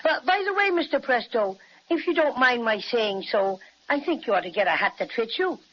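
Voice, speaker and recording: A woman's voice: on the high side, lively intonation, moderate pace. A woman playing an outspoken young girl. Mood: frank. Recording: muffled 1930s radio recording.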